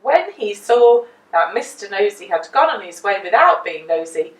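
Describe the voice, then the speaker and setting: A woman telling a children's story in an expressive, deliberate way with dramatic emphasis. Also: medium pitch. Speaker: storyteller reading a children's book. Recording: roomy echo, distant microphone.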